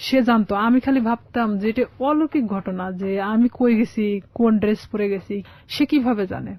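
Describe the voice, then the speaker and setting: A woman's voice: on the high side, fairly quick, no room sound, muffled recording. A young woman with a Bangladeshi Bengali accent telling a personal life story as a guest in a radio interview. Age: young adult.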